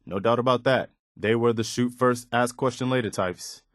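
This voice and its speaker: An actor playing an earnest, principled militia officer in a video game, sincere and plain-spoken, loyal yet easily disappointed. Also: male voice, medium pitch, normal speed, no room sound, studio quality, close to an everyday voice. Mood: relieved, earnest. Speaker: video game companion character.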